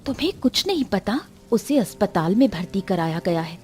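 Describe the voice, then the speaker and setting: A woman's voice: medium pitch, big pitch swings, moderate pace, a little mellow, slightly rough, faint music behind. Woman acting earnest village wife in cartoon. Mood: concerned, disapproving. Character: village wife and mother, earnest, gently reproving. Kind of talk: animated moral story acting.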